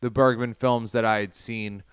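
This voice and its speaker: A man's voice: medium pitch, steady tone, moderate pace, clear, resonant tone, no room sound, clean studio sound. A man co-hosting a film podcast, talking thoughtfully and analytically. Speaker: podcast co-host. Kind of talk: casual film podcast chat.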